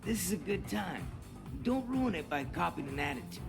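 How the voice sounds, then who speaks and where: A masked wrestling hero with a creaky, gravelly edge. The voice is slightly high and moves in lively swings. It is game dialogue with music behind it. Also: male voice, moderate pace, full character voice. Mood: cocky. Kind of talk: video game cutscene acting.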